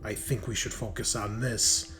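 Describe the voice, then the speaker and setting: A man's voice: lowish voice, steady tone, a bit bright, lightly acted. A man playing a flustered, apologetic doctor who stammers and hedges in a tabletop game. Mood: hesitant, apologetic. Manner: halting, stammering, hedging. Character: flustered male doctor, hesitant, apologetic, bluntly practical. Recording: clearly noisy background.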